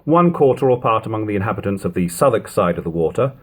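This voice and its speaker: A man reading an old historical narrative aloud in a measured, expressive way, as an audiobook reader. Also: lowish voice, slightly rough. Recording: lo-fi amateur home recording.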